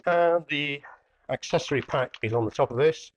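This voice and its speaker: A man's voice: fairly high pitch, moderate pace, dry recording. A man giving a casual product demonstration as a hi-fi dealer, plainly explaining each step as he works. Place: indoor hi-fi showroom.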